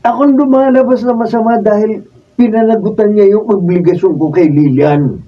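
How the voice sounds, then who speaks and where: Distant, mellow high-voiced proud young man. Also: moderate pace. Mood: defiant. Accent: Filipino. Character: young man in bitter conflicts, proud and emotional. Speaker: radio drama actor. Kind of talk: Filipino radio drama acting. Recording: distant dull mic, faint noise.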